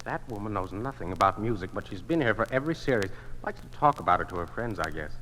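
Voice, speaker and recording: An actor playing a genial, mild museum concert organizer with a genteel manner in an old-time radio drama. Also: male voice, fairly low pitch, lively intonation, fast talker, sharp tone. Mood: casual, puzzled. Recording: noisy old radio transcription.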